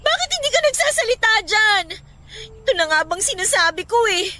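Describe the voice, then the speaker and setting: A woman's voice: very high-pitched, big pitch swings, fairly quick, sharp tone, heavily acted. A woman playing an irritable, anxious young pregnant girlfriend in a Filipino radio drama, emotional and accusing. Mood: tearful, panicked.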